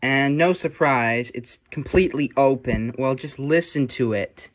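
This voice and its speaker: A man chatting casually and unscripted as he works on a repair, speaking in a halting, muttering way like a hobbyist thinking aloud. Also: mid-range voice, a little hiss or hum. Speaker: hobbyist restoring old electronics. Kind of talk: casual unscripted talk while working on a repair.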